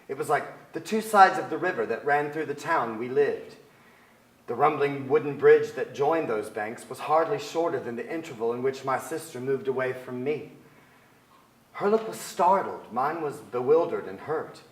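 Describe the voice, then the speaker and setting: A man in his forties giving a measured, reflective dramatic reading of literary prose. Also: medium pitch. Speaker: stage actor, reader. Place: on stage in a theater with an audience. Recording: echoey theater stage.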